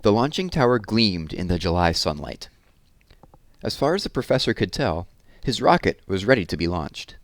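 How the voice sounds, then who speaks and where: A man reading a children's story aloud as host, with an expressive, deliberate storyteller delivery. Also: fairly low pitch, no room sound. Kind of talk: expressive children's picture book read-aloud with informal asides.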